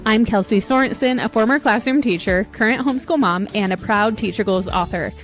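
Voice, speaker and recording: A woman hosting a live podcast, bubbly and quick to laugh as she reads out chat comments. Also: mid-range voice, soft background music. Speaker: podcast host.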